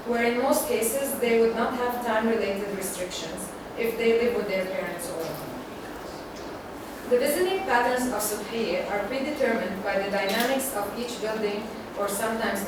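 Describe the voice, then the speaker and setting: A young woman from Syria giving a measured, reflective research presentation, read aloud to an audience. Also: mid-range voice. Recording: echoey hall, far from the mic, noisy.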